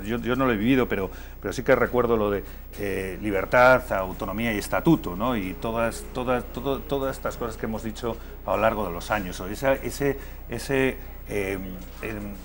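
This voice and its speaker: A man's voice: lowish voice, slight background noise. A man from Madrid speaking as a political guest in a televised debate, hesitating with many fillers and restarts. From Madrid, Spain.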